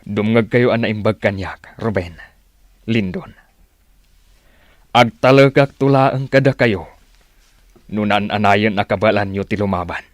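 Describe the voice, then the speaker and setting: An actor with a Filipino accent playing a stern, paternal rebel leader in a radio drama. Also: male voice, lowish voice, measured pace, long pauses, dry recording, clean studio sound, lightly acted. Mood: instructing.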